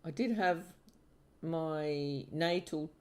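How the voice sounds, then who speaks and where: Middle-aged woman, from Finland, palmistry host, unhurried, halting with fillers, casual livestream chat. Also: deep voice, a little mellow. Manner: hesitant, halting with many fillers and restarts. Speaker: palmistry teacher and channel host.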